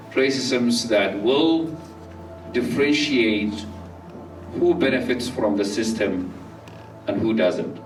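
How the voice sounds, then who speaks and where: Slow, halting man speaking in a roomy hall. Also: medium pitch, distant mic. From Somalia. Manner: slow and halting, with many fillers and restarts. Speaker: speaker at a community talk. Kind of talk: public talk. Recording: roomy, off-mic, faint music behind.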